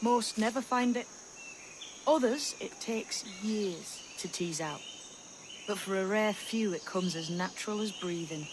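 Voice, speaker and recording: A woman playing a wise, secretive young sailor in a video game cutscene, speaking in a measured way with hushed phrase endings. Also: medium pitch, background noise, natural voice. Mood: reverent, gentle.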